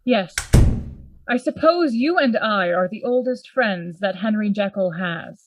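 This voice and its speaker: A woman playing a cautious older Victorian gentleman in a radio play, measured, probing and reserved. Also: mid-range voice, animated, highs cut off, over music, lightly acted. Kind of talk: gothic radio play acting.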